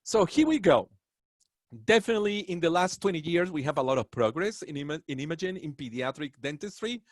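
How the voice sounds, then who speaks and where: A man's voice: fairly high pitch, lively intonation, a little fast, no echo, clean studio sound. A man from Colombia with a Colombian Spanish accent giving a webinar lecture on dentistry, earnest and proud, with rambling asides. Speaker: dental professor.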